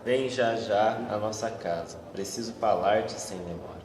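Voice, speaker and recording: Menacing, terse Brazilian husband, played by a man, lowish voice, roomy and noisy amateur radio drama. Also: measured pace, lightly acted. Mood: ominous, urgent. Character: menacing husband's whispered summons, terse and mysterious. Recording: echoey, noisy, distant amateur recording.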